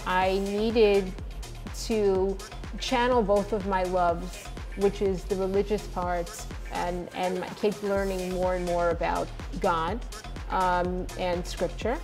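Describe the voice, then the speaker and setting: A woman's voice: fairly low pitch, little pitch movement, takes it slowly, a little mellow, faint music behind. A woman in her sixties, an author talking as a guest in an interview, earnest and emphatic. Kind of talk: conversational interview.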